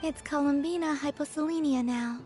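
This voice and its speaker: A woman acts out a serene young maiden from a video game in a high, soft voice. She is dreamy and gentle, matter-of-fact and deadpan when she teases, with music clearly behind her. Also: moderate pace, lightly acted. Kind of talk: dramatic video game voice acting.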